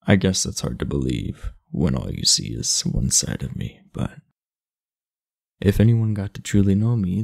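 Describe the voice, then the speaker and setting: A man acts out a big, aloof class delinquent in an intimate ASMR roleplay. His voice is low and husky with heavy fry, and it moves widely in pitch. He talks bluntly and confidingly, laid-back but secretly earnest. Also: a little mellow, full character voice. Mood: frank, confiding. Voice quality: gravelly, husky.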